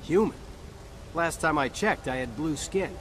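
A wisecracking soldier in a video game. His voice is a little high, casual and wry, with faint noise behind it. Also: animated, a little slow. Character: wisecracking human soldier turncoat in alien body. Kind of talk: video game cutscene acting.

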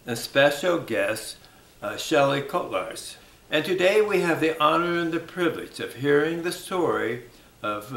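An older man, a museum volunteer, conducting an oral history interview with measured, friendly questions. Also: mid-range voice, a bit bright, echoey room, distant mic. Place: museum room, indoors.

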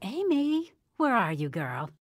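A woman's voice: fairly high pitch, wildly swooping pitch, slow, full character voice. A woman playing a playful, reassuring old grandmother in a radio drama, folksy and soothing. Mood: kindly, playful.

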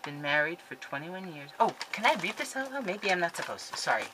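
Woman, 50s, low-pitched, excitable and rambling; home webcam sound with clear background noise. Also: brisk. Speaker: scrapbooking vlogger. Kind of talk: casual chatty haul video. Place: craft room at home, indoors. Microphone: webcam at desk.

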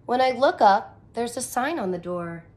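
A woman's voice: on the high side, expressive, moderate pace, a little mellow. A woman in her thirties, a teacher reading a picture book aloud and explaining it expressively in simple phrases for young children. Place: small furnished room indoors.

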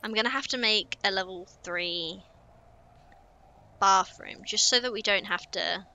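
A woman's voice: mid-range voice, a little slow, bright, forceful tone. A young woman, chatting casually to herself while playing a building game on stream. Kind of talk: casual gameplay commentary.

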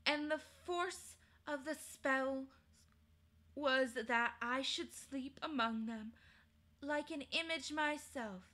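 A woman's voice: sits high, bright, forceful tone, voice pushed up, exaggerated voice. A woman reading as an imperious ancient queen. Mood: haughty. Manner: haughty, imperious, declaiming. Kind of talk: storybook reading.

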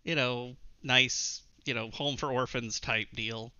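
A man's voice: medium pitch, steady tone, a crowd in the background. A man, one of the players, explaining his character's story in easygoing, hesitant casual chat over a video call. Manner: easygoing, hesitant, full of pauses. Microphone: video call webcam. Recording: dry dull webcam audio.

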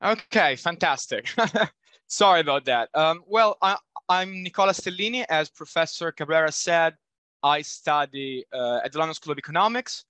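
Young Italian man presenting, bright voice. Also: a little high, dry recording. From Italy. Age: young adult. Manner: apologetic, halting with fillers. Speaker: student presenter. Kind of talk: conference presentation. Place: indoor room at home. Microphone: headset on video call.

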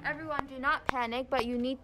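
A woman's voice: high-pitched, fairly even intonation, fairly quick, lightly acted. A young teenage girl playing a commanding math teacher in a zombie audio drama. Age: young teen. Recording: very noisy amateur recording with clicks.

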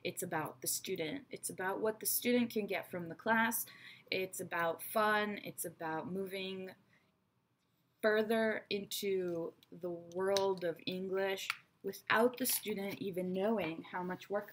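A woman in her thirties, raised between Israel and America, giving a chatty, frank English lesson to her webcam in a small bright room. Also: medium pitch, a little slow. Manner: chatty, frank, teacherly asides. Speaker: English teacher. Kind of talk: online English lesson to camera. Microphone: webcam at desk.

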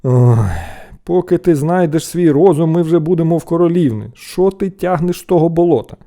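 A man's voice: on the high side, animated, a little fast, gravelly, dark tone, voice pushed up. A man voicing a scolding, exasperated peasant elder brother in a storybook tale, scornful and nagging.

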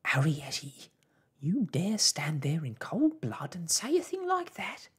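A storyteller voicing a fretful, guilt-ridden mother in a children's storybook, breathy and quavering, with a folksy, lamenting manner. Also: mid-range voice, animated, normal speed, a little mellow, pitched above the performer's own voice, heavily acted. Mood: reproachful, wounded. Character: fretful, guilt-ridden mother of a tiny family, tearful scolder.

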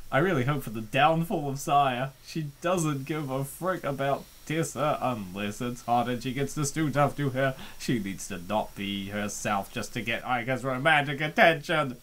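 A man hosting a comic dub, giving joking, theatrical and excitable commentary and reading comments aloud. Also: medium pitch, measured pace, slight background noise.

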